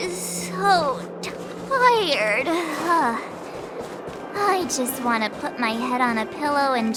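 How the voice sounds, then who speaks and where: A woman's voice: high voice, big-room sound. An actress playing a bubbly, cheeky teenage adventurer girl in a video game, with a breezy, slangy, dramatic delivery. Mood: tired. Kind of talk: English video game voice acting.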